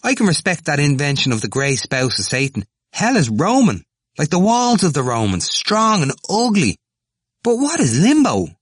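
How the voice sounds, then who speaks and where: A man voicing an excitable, scornful young male student arguing insistently in an audiobook. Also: medium pitch, quick pace, hardly any pauses, no room sound, studio quality, voice pushed up, natural voice. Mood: scornful, excited. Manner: crying out insistently in argument. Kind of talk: acted audiobook dialogue.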